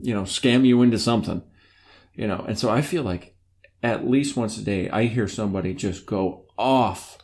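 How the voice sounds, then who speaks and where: A man in his thirties with a fairly low voice hosts a casual podcast. He is easygoing and lets his questions ramble on before he reaches the point. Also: normal speed, darkish tone. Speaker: podcast host. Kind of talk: casual chat.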